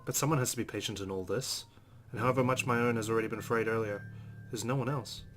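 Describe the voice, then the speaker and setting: A man's voice: fairly low pitch, steady tone, quick pace, fairly bright tone. A man hosting a let's play, reading visual novel narration aloud expressively with casual asides. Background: game music.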